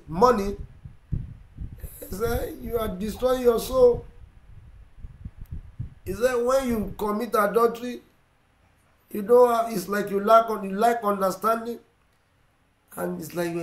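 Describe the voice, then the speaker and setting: A middle-aged man with a Ugandan English accent preaching a sermon, emphatic and repetitive, swinging between loud and quiet. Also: high voice, a little slow, long pauses, echoey room, rough recording. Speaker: pastor. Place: indoor room with plain wall. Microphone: seated facing camera.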